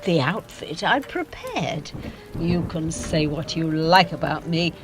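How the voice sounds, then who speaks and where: Audio drama: a self-absorbed, theatrical actress in middle age. She takes her time over words and swings widely in pitch, sounding grand and boastful. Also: sing-song, slow, music in the background, heavily acted.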